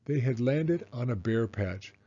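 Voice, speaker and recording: A male children's story reader with a soft-edged, dark tone. He narrates deliberately and expressively, with big melodic movement and a slow pace made for young listeners. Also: medium pitch, lively intonation, lo-fi sound.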